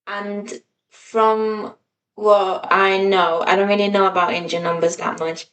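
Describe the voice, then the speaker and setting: A woman's voice: mid-range voice, flat delivery, measured pace, fairly bright tone. Slightly distant woman chatting on a podcast. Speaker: podcast co-host. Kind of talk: casual podcast chat.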